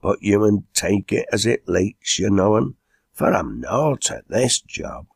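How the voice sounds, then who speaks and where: Guy doing an old lancashire lad making a homely speech, creaky voice, unhurried, story reading. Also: on the low side, sing-song, dry recording, studio quality, heavily acted. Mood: modest, reluctant. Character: reluctant, easygoing old Lancashire chairman at a drinking meeting.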